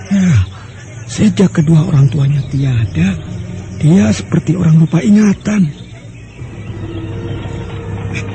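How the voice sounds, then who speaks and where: An actor playing a timid village man in a radio drama, slow and deferential, stammering before his betters. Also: high voice.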